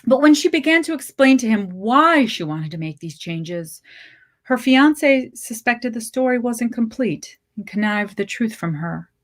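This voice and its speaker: A woman reading literary fiction aloud as a story narrator, with measured, deliberate phrasing. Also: on the high side, lively intonation. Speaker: story reader.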